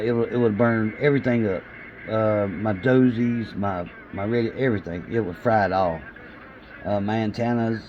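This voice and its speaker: A man's voice: medium pitch, a little mellow. A man with a Southern US accent chatting casually to viewers in a folksy, hesitant way, often repeating himself. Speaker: CB radio hobbyist and video host. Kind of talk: casual chat to camera. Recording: muffled, with clear background radio noise.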